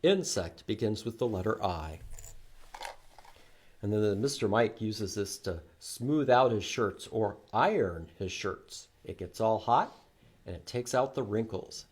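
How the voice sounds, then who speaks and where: A man presenting a children's library storytime, reading aloud in an animated, sing-song way with questions and repeated words for young listeners. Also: lowish voice, measured pace, slightly rough.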